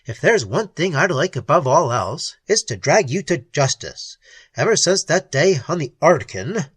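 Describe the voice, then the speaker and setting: A man voicing an indignant, gruff male space captain who growls his words. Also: fairly high pitch, very animated, normal speed, no echo, heavily acted. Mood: angry.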